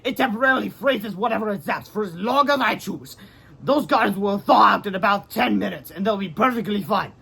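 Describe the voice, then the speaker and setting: A man's voice: high-pitched, fairly quick, gravelly, sharp tone, breathy edge, pitched above the performer's own voice, heavily acted. A man voicing a menacing, gloating inventor villain in a robot suit for a storybook. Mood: smug.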